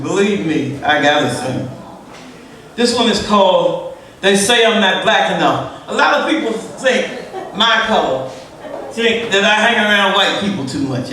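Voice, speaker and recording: Loud, emotional woman poet in an echoey room. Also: fairly low pitch, sing-song, normal speed, a little hiss or hum. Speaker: spoken-word poet. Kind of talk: spoken-word poetry performance with talk between pieces. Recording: echoey room, distant mic.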